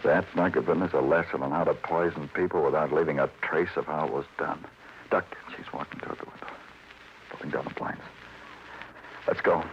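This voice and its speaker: An actor playing a world-weary, hard-boiled New York police detective in a 1950s radio crime drama, speaking in a clipped, terse, commanding way. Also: male voice, very deep voice, fairly even intonation, a little fast, a little hiss or hum. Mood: urgent, hushed. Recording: muffled vintage radio recording, loud music.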